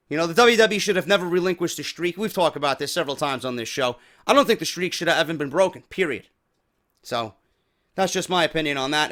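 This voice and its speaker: A man hosting a wrestling news show, giving blunt, profane opinions and getting loud when worked up. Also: fairly high pitch. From New York.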